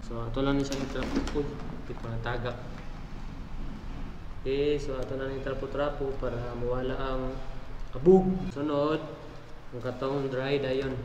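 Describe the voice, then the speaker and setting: A man's voice: mid-range voice, flat delivery, normal speed, long pauses. A Filipino man with a Visayan accent giving a casual gear demonstration as a vlogger, talking conversationally and checking in with viewers. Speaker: motovlogger presenting his gear. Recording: echoey reverberant room, clear background noise.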